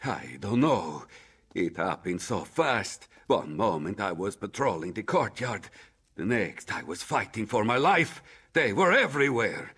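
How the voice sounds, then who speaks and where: A man's voice: lowish voice, a bit bright, breathy edge, exaggerated voice. A man playing a frightened soldier in a fantasy video game, reporting in an urgent, dramatic way.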